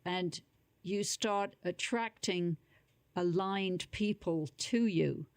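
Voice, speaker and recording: Calm, slow-spoken meditation teacher woman. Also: on the low side, no room sound. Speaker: meditation teacher, podcast guest. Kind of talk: calm interview answers.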